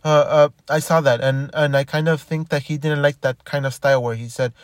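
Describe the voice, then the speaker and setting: A man with a slightly high voice rambles through a casual monologue, stumbling over his words and starting sentences again. The sound is dry and close, with no room echo. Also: steady tone, brisk. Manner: rambling, frequent restarts and repeated words. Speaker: commentator.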